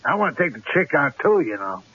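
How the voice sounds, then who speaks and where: An actor playing a crude, jittery, menacing gangster in a radio drama, talking in rough slang. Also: male voice, on the high side, very animated, quick pace. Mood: gloating, cocky. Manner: slangy, rough street talk with hesitant repeats. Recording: dull, noisy old radio recording.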